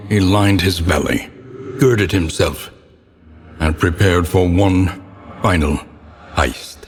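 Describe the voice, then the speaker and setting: A man playing a grave, ominous older narrator of gothic horror tales in a video game, with solemn, theatrical and deliberate storytelling. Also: low voice, husky, long reverb tail, full character voice. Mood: grim, ominous.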